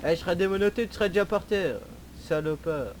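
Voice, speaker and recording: A cheeky, whining male prisoner in a game role-play, acted out by a man, pitched on the high side. He needles and taunts the officers, repeating himself insolently. Faint noise lies behind the voice. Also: quick pace, close to an everyday voice. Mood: mocking, insolent. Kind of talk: improvised online game role-play trolling.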